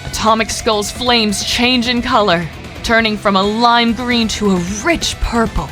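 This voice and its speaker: A woman's voice: fairly high pitch, fairly even intonation, a little slow, over music, lightly acted. A woman narrating an audio drama, reading scripted scene descriptions in a composed way.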